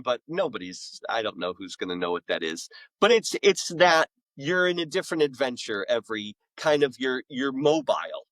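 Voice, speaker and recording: A man's voice: mid-range voice, fairly bright tone, no echo, rough recording. A man talking casually as an interview guest, explaining creative work in a rambling way that often doubles back on itself. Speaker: interview guest, author and audio drama maker.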